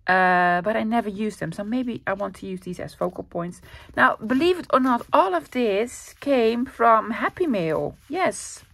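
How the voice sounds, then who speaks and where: A middle-aged woman with a Dutch accent talks her viewers through her craft supplies in a relaxed, rambling way. She fills her sentences with little fillers and soft laughs. Her voice sits at an ordinary middle pitch. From Netherlands. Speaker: craft channel host. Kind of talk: casual craft-with-me chat.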